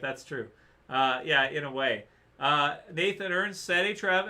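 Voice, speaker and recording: A man's voice: on the high side, bright, forceful tone. A man hosting a casual livestream, rambling through viewer questions with many fillers and restarts, saying he is losing his voice. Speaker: livestream host answering chat.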